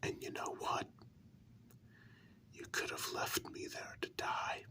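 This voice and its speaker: A performer whispering slowly and breathily in an ASMR role-play, playing a gentle, candid human confiding in a vampire partner. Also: natural voice. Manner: slow, hushed, intimate, with pauses.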